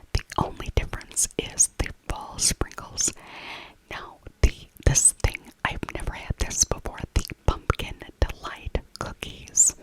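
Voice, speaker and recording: A woman speaking in a breathy whisper, narrating casually in ASMR style and softly repeating her phrases. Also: rough recording. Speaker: ASMR video creator narrating a shopping trip.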